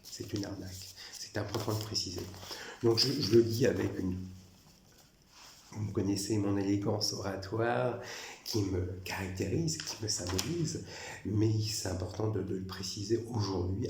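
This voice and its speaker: A man's voice: on the low side, creaky, echoey room, noisy background. A man from France giving a rambling, insistent monologue to camera, repeating and restarting his phrases as he recounts his grievances. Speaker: former prison guard recounting grievances.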